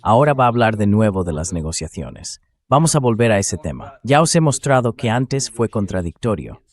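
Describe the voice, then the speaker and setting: A man's voice: fairly low pitch, very animated, normal speed. An AI-generated man's voice hosting conversational news commentary. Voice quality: synthetic AI translation voice. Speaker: host commentator.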